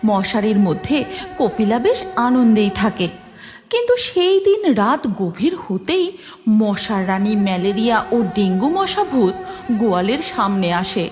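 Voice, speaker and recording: A woman's voice: medium pitch, very animated, a little slow, a little mellow, faint music behind. A woman narrating a scripted children's cartoon story.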